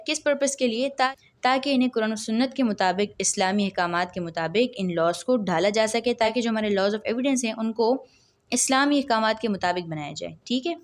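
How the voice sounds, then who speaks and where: A woman with a Pakistani accent lecturing, explaining point by point in a didactic way, often posing questions and answering them herself. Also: a little high. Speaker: law lecturer. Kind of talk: explanatory lecture, reading clauses then explaining.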